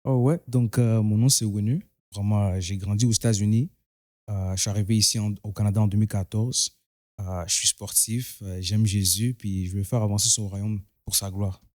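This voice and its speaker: A young man in his twenties from Togo, raised in the United States, giving a soft-spoken personal testimony in a studio, often trailing off and repeating words. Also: lowish voice, steady tone, moderate pace, no echo. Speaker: guest.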